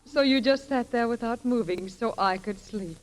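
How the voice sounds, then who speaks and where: A woman's voice: a little high, moderate pace, lightly acted. An actress playing an earnest, proper young woman in a vintage radio comedy, speaking emphatically. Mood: sleepy, flustered. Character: earnest, indignant young woman, Miss Pinney. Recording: noisy old radio recording.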